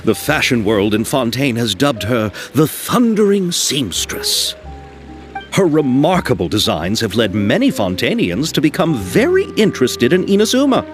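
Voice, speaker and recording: Earnest, animated young film director. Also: male voice, medium pitch, normal speed, faint music behind. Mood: friendly, explaining. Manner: polite, hesitant, nervously apologetic. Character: earnest, flustered young male film director, polite and hopeful. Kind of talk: video game voice acting.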